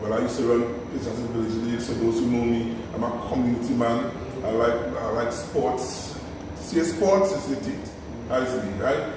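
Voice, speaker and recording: A man's voice: mid-range voice, moderate pace. A Tobagonian man in his fifties talking about community sports, storytelling animatedly and often adding "right?". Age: 50s. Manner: animated storytelling with tag questions. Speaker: guest, community sports organizer. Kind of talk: conversational interview. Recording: echoey room from far off with noise.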